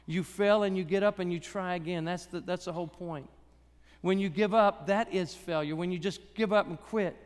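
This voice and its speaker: Man with a high raspy voice preaching in church, chatty and jokey, big hall echo. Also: steady tone, moderate pace. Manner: humorous, conversational preaching. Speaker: guest preacher. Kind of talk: sermon.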